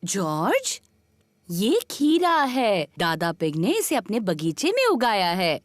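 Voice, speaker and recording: A woman's voice: medium pitch, huge pitch swings, takes it slowly, no echo. A woman voicing a kindly, doting grandmother pig in a children's cartoon dub, in a coaxing, sing-song manner.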